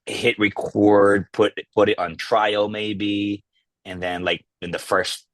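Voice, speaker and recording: Laid-back male guest, very low voice, dry close sound, loose rambling delivery. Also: dry recording. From Philippines. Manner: relaxed, halting, many fillers and restarts. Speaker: podcast guest, content creator. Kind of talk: casual interview chat.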